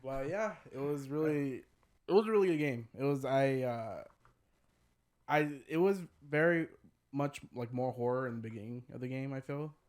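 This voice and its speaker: A man co-hosting a podcast, chatting about games in a halting, laid-back, rambling way. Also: medium pitch, darkish tone. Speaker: podcast co-host. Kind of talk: casual gaming chat.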